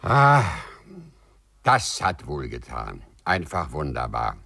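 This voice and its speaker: Courteous eccentric with swooping intonation. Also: male voice, a bass voice, wildly swooping pitch, slow, creaky, sharp tone, soft background music, heavily acted. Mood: rapt, triumphant. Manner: courteous, formal, precise, rapturous. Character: polite, eccentric male prisoner, serenely proud, rapt. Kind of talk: 1950s German radio play acting. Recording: 1950s radio drama recording.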